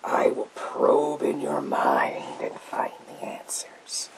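A man voicing a menacing psychic villain in a gas mask, in a theatrical, drawn-out ASMR roleplay whisper with a creaky edge. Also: low-pitched, huge pitch swings, full character voice. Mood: probing, sly. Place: small indoor room with bookshelves.

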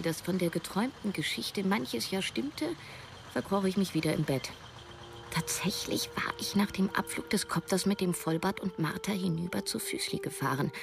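A female writer in her thirties, recounting her story in a radio drama. The voice is low with a lot of fry, measured and literary. Music runs clearly behind it. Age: 30s. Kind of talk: scripted first-person narration, radio drama.

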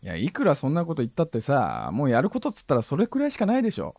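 An easygoing young hometown friend in a naturalistic radio drama. His voice is husky and swings up and down freely as he talks in a blunt, offhand way. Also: on the high side, wildly swooping pitch, rapid-fire, darkish tone, full character voice. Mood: eager, offhand.

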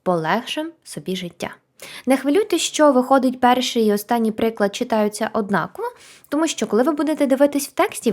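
A woman teaching a language lesson in an animated, chatty, encouraging way with emphatic repetition. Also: mid-range voice. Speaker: Korean language teacher and video host. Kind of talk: conversational language lesson to camera.

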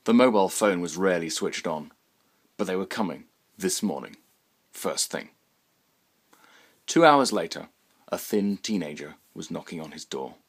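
Low-voiced British man reading a story. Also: animated, moderate pace, long pauses, slightly rough. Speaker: author reading own short story. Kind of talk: scripted literary narration.

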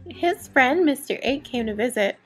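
A woman reading a children's storybook aloud as a storyteller, with expressive, careful delivery. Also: high-pitched, moderate pace, breathy edge, faint music behind.

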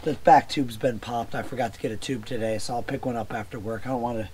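A man in his thirties, hoarse from a cold, casually explaining a motorbike build in a relaxed, rambling way. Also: medium pitch, quick pace, very noisy. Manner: relaxed, rambling how-to narration. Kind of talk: casual workshop vlog. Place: cluttered garage workshop and driveway outdoors.

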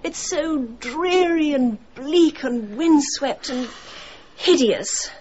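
An actress playing a bored, catty, discontented wife in a radio drama. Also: female voice, high-pitched, slow, darkish tone, highs cut off, a little hiss or hum. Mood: scornful.

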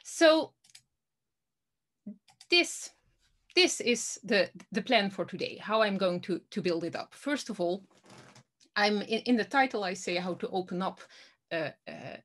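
A woman in her thirties from the Netherlands, with a Dutch accent, giving an academic presentation over a video call. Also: mid-range voice. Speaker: guest lecturer, law academic. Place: book-lined room, indoors. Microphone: video call, earphones with inline mic.

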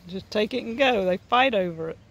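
A middle-aged woman with a Southern US accent, chatting excitedly while fishing from a pond dock, recorded outdoors on a camera. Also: medium pitch, a little fast, poor audio. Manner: chatty, excited running commentary. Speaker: homestead channel host. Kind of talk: casual chat while fishing.

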